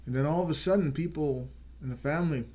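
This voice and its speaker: A man hosting his own channel, giving an earnest unscripted political talk and slowing down to stress key words. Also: a little high, mellow, lo-fi sound. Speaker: channel host.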